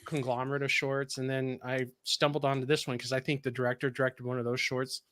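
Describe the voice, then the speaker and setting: A man's voice: mid-range voice, flat delivery, quick pace, a bit bright. A man with a Californian accent chatting on a podcast panel, rambling with many restarts and laughs. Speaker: podcast co-host. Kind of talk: casual panel chat.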